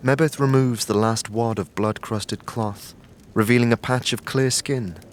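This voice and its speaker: A man narrating a fantasy audio series in scripted, measured, descriptive second-person storytelling. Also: medium pitch, steady tone, a little hiss or hum.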